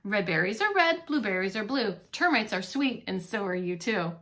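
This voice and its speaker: Bright, coaxing storybook mother bear voiced by a woman. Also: medium pitch, normal speed. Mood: tender. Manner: coaxing and expressive. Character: affectionate, determined female bear wife in a picture book.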